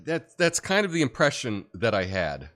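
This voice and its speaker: A deep-voiced man hosting a livestream, chatting casually and reading viewer comments with lots of fillers. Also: moderate pace. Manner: rambling, relaxed, many fillers and restarts. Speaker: livestream host.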